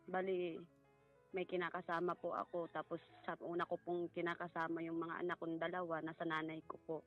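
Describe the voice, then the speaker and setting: A woman with a Filipino accent giving a personal account in a halting, polite way, as a guest in conversation. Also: lowish voice, monotone, muffled recording. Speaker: guest recounting a family story. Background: music.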